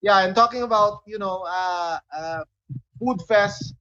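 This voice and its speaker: A man with a Filipino accent chatting as a radio show co-host, halting and often pausing to search for words. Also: high-pitched, pushed and bright, dry recording, highs cut off. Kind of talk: casual talk show chat.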